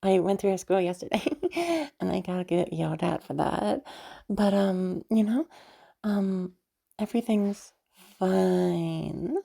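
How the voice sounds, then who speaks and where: A woman's voice: mid-range voice, lively intonation, slow, creaky, mellow, heavily acted. A woman voicing a sweet, bubbly, nervous young woman chatting in a roleplay audio drama, hesitant, giggly and stammering. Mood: hopeful, hesitant. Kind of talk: roleplay audio drama, one side of a phone chat.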